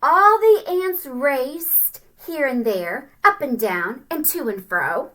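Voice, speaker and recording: Woman, elementary teacher, slightly high bright voice, expressive storytime read-aloud, simple asides to children. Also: takes it slowly, poor audio. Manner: simple explanations addressed to young children, expressive and animated.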